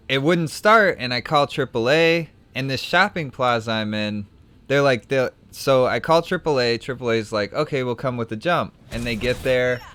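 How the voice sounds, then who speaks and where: A young man talks loosely over his card game, drifting into a long story and restarting his sentences as he goes. His voice is smooth and clear, sitting at an ordinary middle pitch. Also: a bit bright. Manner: rambling, self-correcting storyteller. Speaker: streamer. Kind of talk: casual gameplay chat and anecdote.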